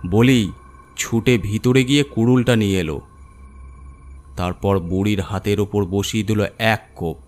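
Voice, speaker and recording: A man's voice: fairly low pitch, unhurried, long pauses, a little mellow. A man narrating a scripted horror story as its storyteller.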